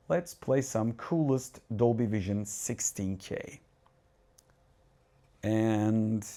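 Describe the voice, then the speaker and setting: A man's voice: mid-range voice, mellow. Turkish-accented man demoing gear live. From Turkey. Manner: thinking aloud, restarts and asides while adjusting settings. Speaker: tech reviewer. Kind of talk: casual live product demo. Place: dark home cinema room.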